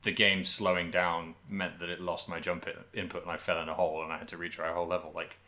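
Deep-voiced man with a bright, forceful edge. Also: level tone, fast. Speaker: podcast host. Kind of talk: casual video game discussion.

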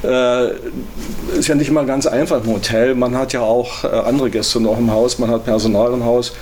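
A man working in a hotel gives unscripted interview answers in a hesitant, halting way. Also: mid-range voice, steady tone, a little mellow, slight background noise.

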